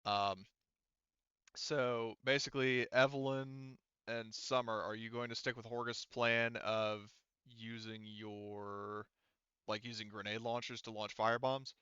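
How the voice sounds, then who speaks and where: A man running a tabletop game as game master, explaining rules casually in a halting, self-correcting way. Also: mid-range voice, flat delivery, bright, forceful tone, clear, resonant tone, no echo, muffled recording.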